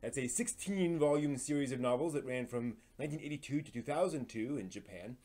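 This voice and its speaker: A man hosting a live stream, reading entertainment news in an informal, somewhat halting way with casual asides. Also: mid-range voice, a little mellow. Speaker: live stream host presenting anime news.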